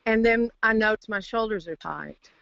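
A woman calling in to share casual reflections, halting and searching for words, over a phone line. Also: fairly high pitch, vocal fry, a bit bright, dry recording. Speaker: caller to a group call. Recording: dull dry phone line.

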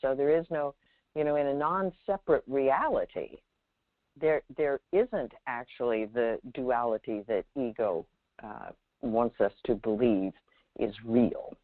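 A woman giving unscripted spiritual guidance on a call-in, speaking in a measured, halting way with pauses and check-in questions. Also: a bass voice, no echo. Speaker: Zen teacher hosting a call-in. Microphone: telephone. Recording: narrow tinny phone line.

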